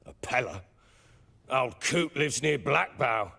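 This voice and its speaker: An actor playing a coarse, defensive middle-aged lord fond of drink in a video game, gruff and emphatic in delivery. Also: male voice, medium pitch, expressive, slow pace, heavily acted. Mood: grudging, informative. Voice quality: rough, forceful.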